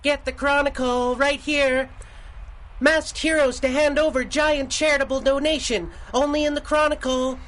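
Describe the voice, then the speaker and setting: A kid newspaper seller yelling headlines, really high eager voice, old radio show style. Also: female voice, steady tone, moderate pace, soft background music, poor audio. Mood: eager, shouting. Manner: hawking, calling out. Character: loud, eager young street newspaper seller. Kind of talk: 1930s radio drama acting.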